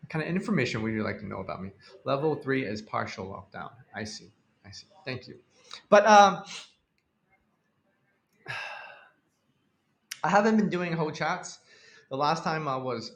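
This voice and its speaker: Young man from Hong Kong, casual streamer, halting and rambling delivery, mid-pitched voice, roomy echo. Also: a bit bright. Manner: rambling, halting, with frequent fillers and restarts. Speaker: live streamer. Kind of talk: casual live-stream chat reading viewer comments.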